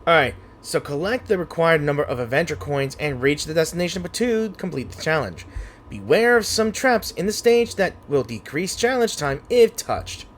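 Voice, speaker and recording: A man's voice: fairly high pitch, very animated, normal speed, slight background noise. A man giving casual gameplay commentary and reading game text aloud as he plays. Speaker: gaming video creator. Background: game music.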